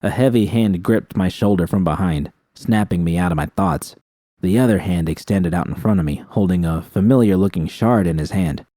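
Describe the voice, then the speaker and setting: A man narrates a scripted first-person story. His voice is deep and somewhat dark. The recording is dry and close, like an audiobook. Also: moderate pace, no echo.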